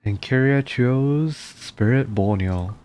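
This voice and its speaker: A woman's voice: very deep voice, slow, a little mellow, faint music behind. A woman streamer reacting casually to a story game in short, murmured interjections. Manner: brief interjections, murmured agreement.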